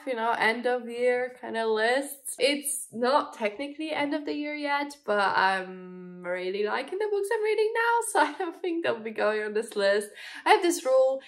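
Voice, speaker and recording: A woman's voice: on the high side, fairly bright tone. A young adult woman with a congested voice from a cold, chatting casually to camera as a book channel host, with lots of fillers and the odd laugh. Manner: chatty, frequent fillers, laughs while talking. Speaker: booktube host. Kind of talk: casual book review chat.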